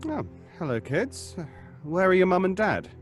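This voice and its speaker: A man playing a measured doctor in an audio drama. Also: sits high, big pitch swings, music in the background. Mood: genial. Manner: measured, clinical. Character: Doctor McLean, measured psychologist.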